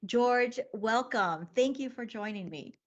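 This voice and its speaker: A woman's voice: a little high, expressive, measured pace, dull audio. A woman guest-hosting an interview show, effusive and full of praise for her guests. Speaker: guest host.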